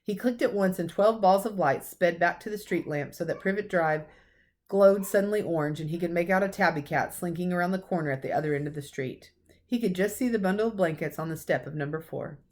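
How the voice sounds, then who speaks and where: Low-pitched woman reading a children's story. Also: a little fast, a little mellow. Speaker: teacher reading a storybook aloud. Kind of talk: expressive storybook narration for children.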